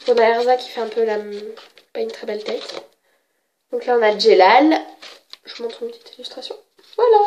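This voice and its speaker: A woman's voice: on the high side, slight background noise. A woman with a cold chatting casually as she shows off her manga books, hesitant and full of fillers and self-corrections. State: has a cold. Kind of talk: casual show-and-tell.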